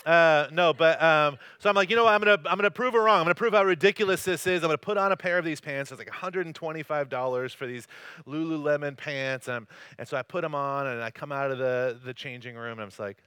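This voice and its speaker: A man's voice: a little high, quick pace, bright, forceful tone, clear, resonant tone, no echo. A man from North Carolina, probably in his thirties, preaching a conversational sermon as a campus pastor, mixing jokes and self-deprecating stories. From North Carolina, USA. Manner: joking, self-deprecating, loose storytelling. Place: church service.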